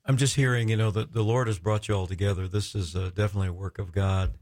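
Low-voiced, effusive male radio host. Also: fairly even intonation, a little mellow. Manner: effusive, halting and searching for words.

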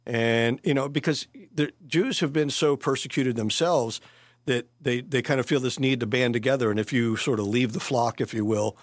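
A pastor in his early sixties talks through his thoughts slowly, with pauses and restarts. His voice comes across dry and close. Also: medium pitch, no echo. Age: 60s. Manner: hesitant, many fillers and restarts. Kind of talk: casual interview conversation.

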